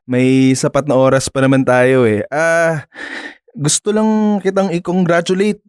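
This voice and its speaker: An actor plays a shy young Filipino man in a radio drama, polite and smitten. His delivery is hesitant and halting. The voice is medium in pitch and recorded dry and close. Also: fairly even intonation, clean tone, dry recording, natural voice. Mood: admiring. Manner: hesitant, halting, nervous chuckles.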